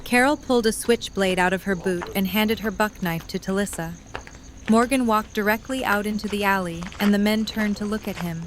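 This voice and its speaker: A woman's voice: mid-range voice, moderate pace, a little hiss or hum. A woman narrator reading a scripted story for an audio drama.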